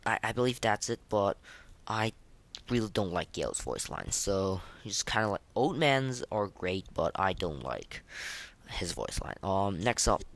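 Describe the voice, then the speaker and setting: A man's voice: fairly low pitch, very animated, unhurried, fairly bright tone. A young man hosting a casual, unscripted podcast of game ratings in a hesitant, rambling, informal way. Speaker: podcast host.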